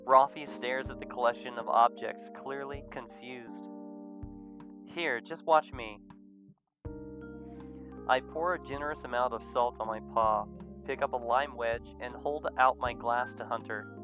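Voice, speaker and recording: A man reading a visual novel aloud as expressive scripted narration. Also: medium pitch, normal speed, over music.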